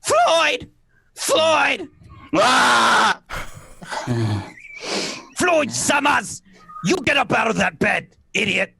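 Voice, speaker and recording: A man playing a loud, bad-tempered spouse hurling insults in a radio play. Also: extremely high pitch, sing-song, very slow, gravelly, pauses often, slightly rough, no room sound, full character voice. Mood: furious.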